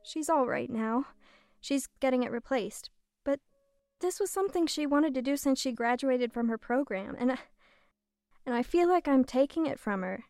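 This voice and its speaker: A modest, hesitant nineteen-year-old woman engineer who doubts herself. Her voice is high and recorded very dry. The delivery is that of sci-fi audio drama. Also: animated, normal speed, darkish tone, no room sound, faint music behind. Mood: self-doubting.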